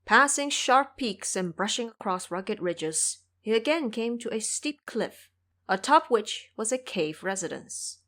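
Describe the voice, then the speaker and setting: Brief: woman narrator, expressive scripted storytelling for an audio drama. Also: mid-range voice, unhurried. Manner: expressive, vivid descriptions.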